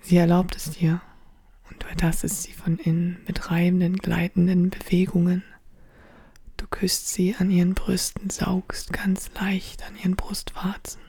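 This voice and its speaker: A woman whispers close to the mic in a slow, intimate, breathy voice. Her tone stays flat and dark, with a gravelly edge under the hush. The sound is dry and close, with no room around it. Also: lowish voice, no echo. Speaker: narrator. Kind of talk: whispered second-person audio drama narration. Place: studio.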